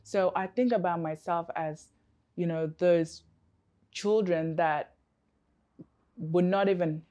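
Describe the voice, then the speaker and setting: A woman's voice: lowish voice, steady tone, slow pace, warm tone. A woman from Africa, a coach, answering interview questions in an earnest, reflective way with many fillers and restarts.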